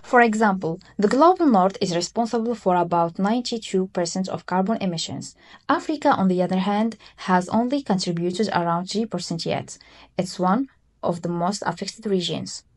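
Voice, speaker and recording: A young woman from Morocco co-hosts a podcast and explains things from a prepared script. Her voice has a middling pitch, and she reads with clear emphasis. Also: normal speed. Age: 20s. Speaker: podcast co-host.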